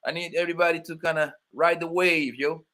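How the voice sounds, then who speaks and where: A man's voice: on the high side, fairly even intonation, a little fast, bright, forceful tone. A young man with a New York accent hosting a casual livestream, joking loosely and calling out chat viewers by name. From born in Colombia, raised in Brooklyn, New York. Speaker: livestream host.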